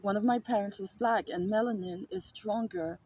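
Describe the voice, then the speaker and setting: A woman's voice: medium pitch, moderate pace, a little mellow, breathy edge, rough recording. A woman vlogger telling a personal story in a casual monologue, emphatic and repetitive, swearing freely. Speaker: spiritual vlogger.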